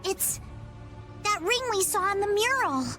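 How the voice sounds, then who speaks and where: A woman's voice: extremely high pitch, animated, a little slow, pushed and bright, soft background music, exaggerated voice. A woman voicing an earnest, outspoken and plucky youngster in a video game. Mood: awed.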